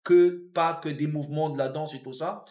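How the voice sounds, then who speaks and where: A man in his fifties from Tunisia with a Tunisian accent, a comedian and actor telling his life story in a relaxed interview, thoughtful, with frequent restarts and fillers. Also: on the high side, moderate pace. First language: Arabic. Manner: reflective, repeats and restarts words, many fillers.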